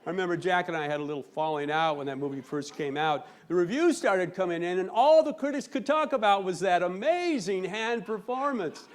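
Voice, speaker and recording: A man telling a comic tall tale in a live speech contest, theatrical and mock-serious, with dramatic pauses. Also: high-pitched, lively intonation, a faint crowd. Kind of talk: humorous storytelling speech to an audience. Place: live on stage before a laughing audience.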